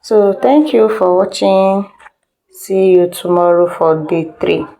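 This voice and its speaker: A woman's voice: mid-range voice, slow, gravelly, dark tone, low-quality recording. A woman instructor giving an informal step-by-step tutorial, repeating instructions and checking in with listeners. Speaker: video editing instructor.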